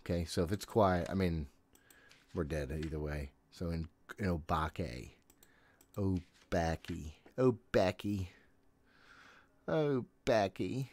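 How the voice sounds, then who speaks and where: Deep-voiced man, jittery game commentary. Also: takes it slowly, long pauses, a little mellow. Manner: jittery, exasperated self-talk; frequent swearing. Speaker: game streamer. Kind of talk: casual horror game commentary. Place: small home room, indoors. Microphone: desk microphone, headset worn.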